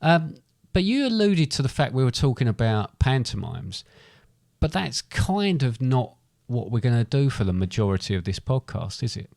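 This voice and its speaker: A man's voice: medium pitch, sing-song, moderate pace, gravelly, a little mellow, dry recording, studio quality. A middle-aged British man co-hosting a podcast in casual, bantering chat. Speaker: podcast co-host.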